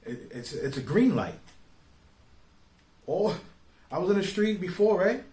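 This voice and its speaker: A man giving informal opinion commentary in street slang, speaking emphatically and deliberately, often putting rhetorical questions to the listener. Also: high voice, sing-song, darkish tone. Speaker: online commentator. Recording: roomy, echoing sound.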